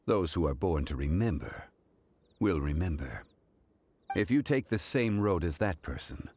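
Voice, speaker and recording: An actor playing an ancient, wise male god, speaking in a measured, formal and solemn way in a game. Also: sits low, a little mellow, no echo, full character voice. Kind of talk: game voice acting. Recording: faint game music behind.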